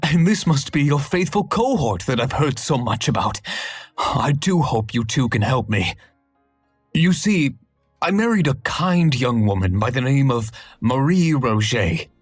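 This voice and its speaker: An actor playing an earnest, anxious young husband pleading for help in a game cutscene. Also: male voice, mid-range voice, animated, measured pace, no room sound, faint music behind. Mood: worried. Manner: worried, pleading, slightly husky. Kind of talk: hidden-object game cutscene.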